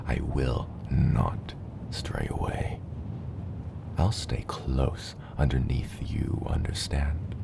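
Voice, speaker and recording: Man voicing a very deep, gravelly father dragon. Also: exaggerated voice. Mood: soothing, reassuring. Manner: gentle, reassuring, unhurried, hushed. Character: gentle giant father dragon, patient teacher of his hatchling. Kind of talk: ASMR roleplay acting. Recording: loud low rumbling noise.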